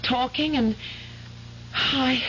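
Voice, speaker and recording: A woman's voice: high voice, extremely slow. An actress playing a worried, doubting but persistent woman in an old-time radio drama, hesitant and earnest. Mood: worried, insistent. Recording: muffled radio drama with faint noise.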